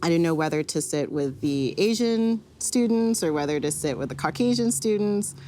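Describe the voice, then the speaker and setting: A woman speaking thoughtfully in a documentary interview, pausing with fillers and restarting her sentences. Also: fairly low pitch, animated, dark tone, slight background noise. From Canada, Vancouver. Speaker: interviewee, community organizer.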